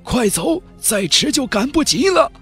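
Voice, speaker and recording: A man's voice: extremely high pitch, big pitch swings, a little slow, fairly bright tone, slightly rough, soft background music, voice pushed up, heavily acted. A man voicing an urgent, commanding old Daoist cultivator in a fantasy audiobook. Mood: anxious, urgent. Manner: urgent, barking.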